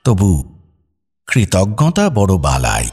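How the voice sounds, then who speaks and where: A man narrating a scripted radio story in a wry, expressive way. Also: on the low side, slow, slightly rough.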